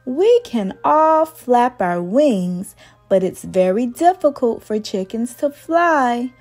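Give me a woman reading as a kind, encouraging mother hen from a children's storybook. She speaks slowly in a high voice, reassuring and explaining things patiently. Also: big pitch swings, a little mellow, faint music behind, full character voice. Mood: gently cautioning.

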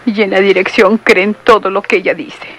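Actress playing a chatty young nurse. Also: female voice, medium pitch, steady tone, moderate pace, fairly bright tone, a little hiss or hum, lightly acted, rough recording. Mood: gossipy. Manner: pleading, then gossipy and playful. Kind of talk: vintage radio drama acting. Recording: old radio recording.

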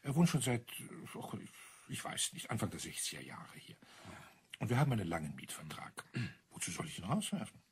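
An actor playing a talkative landlord amused by his eccentric neighbours, husky and explanatory, in a German crime radio drama. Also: lowish voice, sing-song, fairly quick, full character voice. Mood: musing, enthusiastic. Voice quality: husky, breathy. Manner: chatty, explanatory, savouring details.

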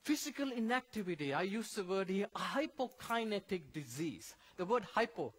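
Middle-aged man from Sri Lanka, high voice, church health seminar speaker, chatty and explanatory. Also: sing-song, normal speed, fairly bright tone. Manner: conversational and explanatory, breaks down medical terms.

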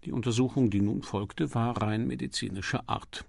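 A man's voice: medium pitch, fairly even intonation, normal speed, creaky, a little mellow, husky, no room sound, clean studio sound. A man reading scripted literary narration as an audiobook narrator.

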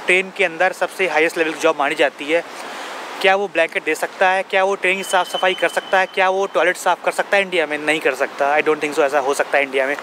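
A young man from India vlogging to a camera held at arm's length on an outdoor lawn, explaining points earnestly and asking rhetorical questions. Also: sits high. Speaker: travel vlogger. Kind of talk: casual travel vlog talk to camera. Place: outdoors on a grassy campus lawn. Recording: outdoor noise behind voice.

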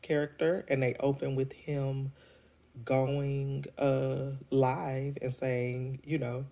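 Deep-voiced woman with a head cold. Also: little pitch movement, takes it slowly, warm tone. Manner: chatty, laughs often, many ums. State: congested from a cold. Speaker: show reviewer. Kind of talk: casual vlog review. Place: indoors at home. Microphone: phone held at arm's length.

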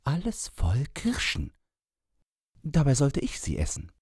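A man voicing a feverish, weak sick boy in a high, strained, whispery voice. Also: wildly swooping pitch, measured pace, darkish tone, slightly rough, no echo, clean studio sound, full character voice. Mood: dazed.

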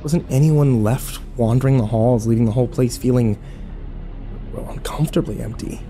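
A man playing an overwhelmed, foul-mouthed young man who narrates his ordeal haltingly in a horror audio drama. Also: medium pitch, mellow, soft background music, natural voice. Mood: uneasy. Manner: halting, self-interrupting, swearing, incredulous.

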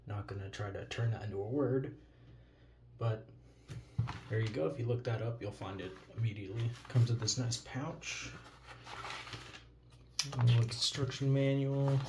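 A man's voice: medium pitch, vocal fry. An American man giving a casual, offhand product demonstration as a reviewer, recorded indoors at a countertop. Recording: noticeable noise, handling clicks.

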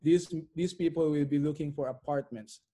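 Middle-aged guy on a video call interview, mellow soft-edged tone, slightly high voice, repeats stuff to make his point. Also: steady tone, normal speed. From immigrant to Canada. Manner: emphatic, repeats phrases for effect. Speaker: interview guest, real estate investor. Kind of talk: conversational interview answers. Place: bright living room at home.

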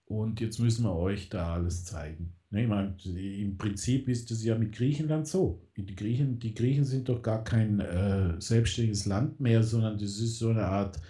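A man's voice: fairly low pitch, normal speed, few pauses, warm tone. A man in casual political radio talk, rambling with many fillers and restarts. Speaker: guest.

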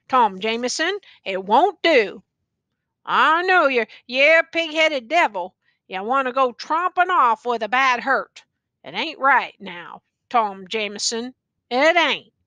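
A woman's voice: high-pitched, bright, forceful tone, dry recording, pitched above the performer's own voice, full character voice. A woman voicing a wounded, tattered male soldier who rambles on in a slurred, folksy dialect.

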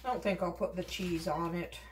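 A woman's voice: lowish voice, fairly even intonation, a little fast, a little hiss or hum. A middle-aged woman casually talking through her cooking step by step in her home kitchen, in short remarks to herself. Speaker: home cook vlogger. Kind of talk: casual narration while cooking. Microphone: camera across the counter. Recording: echoey kitchen from a distance. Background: clinking and chopping noises.

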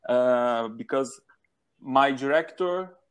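A young man from Brazil speaks careful, hesitant English with a Portuguese accent and a slow pace. He talks over a video call through earphones from a small room at home. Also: medium pitch, smooth, lo-fi sound. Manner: hesitant beginner English, halting. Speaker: English learner. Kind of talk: online language lesson. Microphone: earphone headset on video call.

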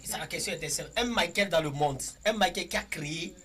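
A man hosting a radio game show, quizzing and teasing his guests in lively banter. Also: fairly high pitch, fast, crowd noise. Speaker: radio quiz host.

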